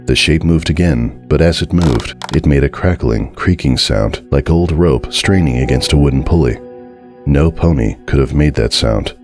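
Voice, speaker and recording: A man with an exceptionally deep voice reads a horror story aloud as its narrator. His delivery is dramatic, deliberate and suspenseful, with music playing underneath. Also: darkish tone.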